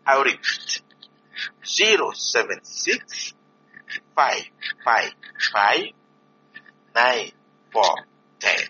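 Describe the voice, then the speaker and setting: A man from DR Congo, a priest and vocation director, making an earnest, pleading appeal to listeners with many pauses. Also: medium pitch, very animated, pushed and bright. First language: not English. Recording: dull lo-fi recording with faint noise.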